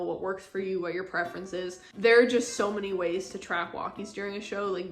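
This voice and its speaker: A woman's voice: lowish voice, a bit bright. Young woman, chatty instructor, roomy sound. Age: young adult. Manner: informal, explanatory, chatty. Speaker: training instructor, assistant director. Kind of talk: casual instructional talk to camera. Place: living room, indoors. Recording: roomy indoor echo.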